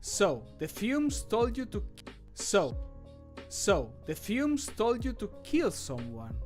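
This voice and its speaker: Guy doing a theatrical fantasy game companion, wry, slightly high voice, faint music behind. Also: huge pitch swings, slow pace, full character voice. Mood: wry, dry. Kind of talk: video game voice lines.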